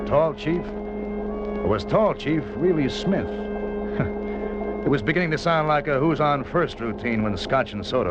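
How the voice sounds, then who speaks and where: An actor playing a wry, cool American secret agent in a 1950s radio thriller, narrating and talking with a flippant, nonchalant air. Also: male voice, medium pitch, highs cut off. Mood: wry, brooding. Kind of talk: 1950s radio thriller acting, first-person narration and dialogue.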